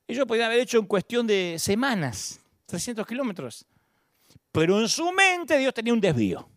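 A middle-aged man, a pastor with a Buenos Aires Argentine accent, preaching a sermon emphatically, repeating words and swinging his pitch suddenly. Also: sing-song, moderate pace, fairly bright tone, no echo, studio quality. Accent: Argentine Spanish, Buenos Aires.

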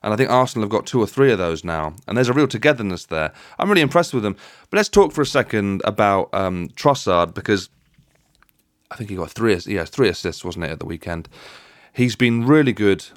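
A man's voice: fairly low pitch, lively intonation, brisk, no room sound, clean studio sound. A man hosting a football podcast, chatting casually and conversationally, often putting leading questions to his co-host.

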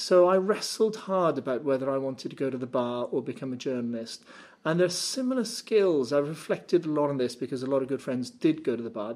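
Man, middle-aged, Welsh accent, native Welsh speaker, articulate, wry, measured interview answers. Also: fairly high pitch, a little mellow. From Cardiff, Wales. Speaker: guest, journalist and communicator.

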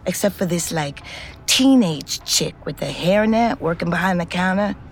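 A woman's voice: lowish voice, expressive, normal speed, soft background music. An actress playing a foul-mouthed, middle-aged ex-convict, telling her story in a confiding, conversational way. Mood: wry, confiding. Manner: streetwise, blunt, confiding. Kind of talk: scripted first-person narration, conversational.

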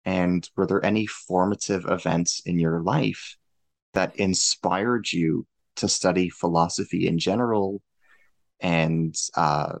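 A man hosting a podcast interview, formal and measured in his questions. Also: deep voice, vocal fry, dry recording. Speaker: podcast host.

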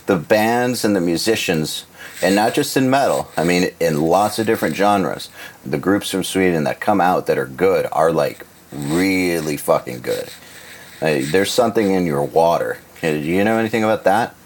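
Male host, deep low register, blunt teasing banter. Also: expressive, normal speed, slight background noise. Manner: blunt, swears freely, teasing. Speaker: podcast host. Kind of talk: casual chat.

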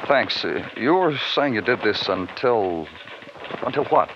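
An actor playing a police detective narrating his case in a clipped, wry, deliberate way, in an old radio crime drama. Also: mid-range voice, animated, background noise, close to an everyday voice. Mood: businesslike. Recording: muffled old radio recording with music.

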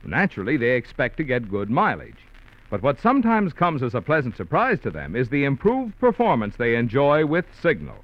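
A man's voice: mid-range voice, huge pitch swings, fairly quick, no echo. A man announcing a scripted vintage radio advertisement with a polished salesman's delivery. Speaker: commercial announcer. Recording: muffled old radio recording.

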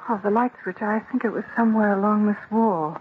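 A woman's voice: medium pitch, fairly even intonation, a little fast, close to an everyday voice. An actress playing an anxious, frightened woman in an old-time radio horror play, pleading worriedly and stammering. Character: anxious, frightened woman fretting over her missing man. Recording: tinny, noisy vintage radio recording.

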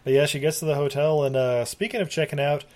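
A man co-hosting a casual movie podcast, recapping scenes with lots of acted-out bits. His voice sits a little high for a man, and he laughs often. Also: fairly even intonation, fast, darkish tone. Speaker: podcast co-host.

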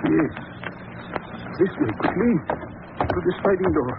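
A high-pitched, frightened foreign shopkeeper, shifty and pleading, in vintage radio drama style. The recording is tinny and buried in heavy noise. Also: male voice, lively intonation, unhurried, vocal fry. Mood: anxious, furtive. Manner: pleading, nervous. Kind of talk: old-time radio drama acting. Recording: very noisy, tinny old radio broadcast.

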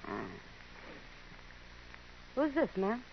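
An American woman playing a worldly, watchful saloon woman with a quietly confiding manner in a 1950s radio western. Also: high-pitched, animated, drawn-out words, heavily acted. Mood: curious, probing. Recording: dull, hissy vintage radio recording.

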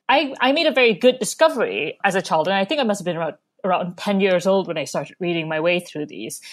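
A woman from Malaysia, an author, casually recommending a book with many ums and restarts. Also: mid-range voice.

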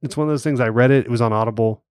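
A man's voice: medium pitch, rapid-fire, a little mellow, clear, resonant tone, no echo, clean studio sound. A man co-hosting a podcast, chatting casually with plenty of fillers and restarts. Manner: rambling, lots of fillers and restarts. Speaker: podcast co-host. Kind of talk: casual chat.

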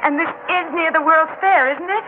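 An actress playing an anxious, stubborn, protective working-class wife in a 1960s radio drama, urgent and insistent. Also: female voice, extremely high pitch, moderate pace, music in the background. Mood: anxious, defiant. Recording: noisy lo-fi old radio recording.

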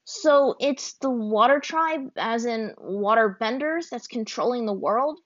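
A woman voicing a gentle, confused young airbender boy who asks and pleads unsure. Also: a little high, animated, normal speed, no room sound, muffled recording, voice pushed up. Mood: pleading.

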